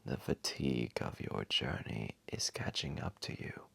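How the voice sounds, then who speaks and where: A man voicing an ancient, wise and gentle old dragon in a gravelly, breathy voice, telling a bedtime story slowly and soothingly. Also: extremely low pitch, full character voice. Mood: soothing, hushed. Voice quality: gravelly, breathy, often whispered. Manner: slow, solemn, soothing, deliberate. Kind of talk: slow bedtime storytelling, ASMR.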